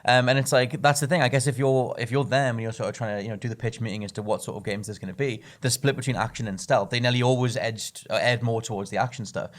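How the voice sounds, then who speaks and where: Fast, rambling podcast man. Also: medium pitch, steady tone. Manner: rambling, many fillers like "like". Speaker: podcast co-host. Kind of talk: casual chat.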